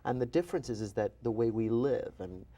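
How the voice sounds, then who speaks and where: A man in his forties from New York, a physician and author, talking in an animated, self-deprecating way as an interview guest. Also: mid-range voice, a little fast. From New York, USA. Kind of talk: interview conversation.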